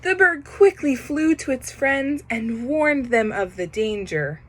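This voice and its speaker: A woman reading a children's picture book aloud, pausing to comment on the pictures. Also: high-pitched, animated. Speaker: storybook reader.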